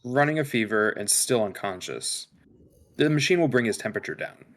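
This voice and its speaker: A man playing a calm, detached spaceship science officer with a measured, clinical delivery in a sci-fi script table read. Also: medium pitch, lightly acted. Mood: clinical, intent.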